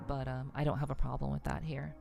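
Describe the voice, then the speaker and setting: A woman hosts a cozy, casual ASMR livestream in a low, soothing voice with plenty of vocal fry. She speaks in a relaxed, halting way, addressing viewers directly. Faint music plays behind her. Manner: relaxed, unhurried, halting delivery. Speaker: VTuber livestream host.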